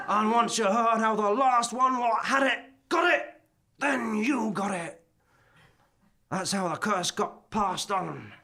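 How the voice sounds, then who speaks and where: Man voicing ghost, very high voice, bright, chatty, chuckling, live stage radio play. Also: lively intonation, slow pace, long pauses, heavily acted. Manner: cheerful, rambling, chuckling. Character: chatty ghost of a male former professor. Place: theatre stage before a live audience.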